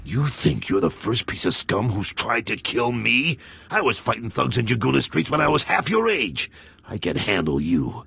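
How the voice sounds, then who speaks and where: Very gravelly, husky old gang boss. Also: male voice, medium pitch, very animated, normal speed, a bit bright, exaggerated voice. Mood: defiant. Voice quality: raspy, gravelly. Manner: rough, challenging tough talk. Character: betrayed, defiant old male gang leader. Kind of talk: dramatic video game acting.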